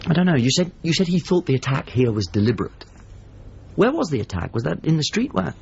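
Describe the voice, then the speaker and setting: An actor playing a painter who wistfully recalls his lost master in a radio drama, speaking in intimate, measured, halting phrases. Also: a little high, sing-song, gravelly, darkish tone, slightly rough, lo-fi sound, full character voice. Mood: anxious. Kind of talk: radio drama monologue and dialogue.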